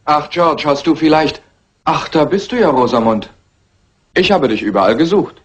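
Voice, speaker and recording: A man playing an evasive, henpecked young husband in a German film dub, husky and defensive. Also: a little high, big pitch swings, normal speed. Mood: yielding.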